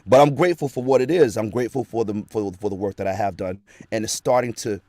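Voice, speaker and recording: A man's voice: lowish voice, quick pace, dark tone, no room sound. A man who works as a voice actor, talking earnestly and at length as a guest in a casual interview, recorded in a small home studio. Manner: earnest, rambling storytelling.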